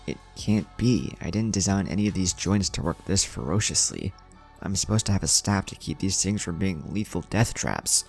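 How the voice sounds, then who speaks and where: A man's voice: deep voice, animated, normal speed, creaky, faint music behind. A man voicing an earnest, protective rescue hero who speaks formally to students in a story. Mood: alarmed.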